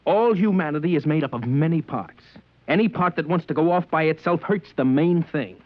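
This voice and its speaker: An actor playing a stern, dogmatic customs agent with a curt, preachy manner, in 1950s radio drama style. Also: male voice, a little high, fairly quick, dry recording, natural voice. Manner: curt, matter-of-fact, preachy. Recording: dry, dull old radio recording.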